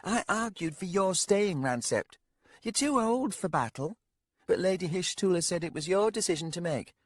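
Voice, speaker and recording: A man's voice: high-pitched, expressive, moderate pace, dry recording, studio quality, pitched above the performer's own voice. A man voicing a young noblewoman who is tearful, insistent and defiant. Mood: teasing. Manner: pleading, sharp and insistent.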